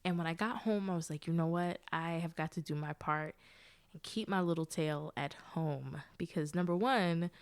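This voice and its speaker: A woman's voice: sits low, moderate pace, clear, resonant tone. A woman hosting her own podcast in a casual solo chat, friendly and talkative, sounding nasal and congested from allergies, with frequent laughs and sisterly asides. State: congested, blocked sinuses. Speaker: podcast host.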